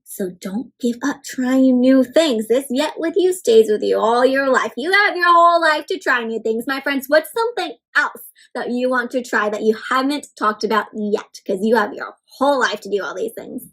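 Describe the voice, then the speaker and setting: A young woman hosting an interactive read-aloud for children, talking to them in an animated, encouraging way. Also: high voice, moderate pace, hardly any pauses. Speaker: children's storybook reader and host.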